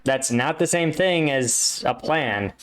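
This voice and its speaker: A man's voice: medium pitch, animated, slow pace, noisy background. A man giving casual, critical commentary as a reaction host, explaining at length with an exasperated edge and checking in with "right?". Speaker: reaction video host, army combat veteran.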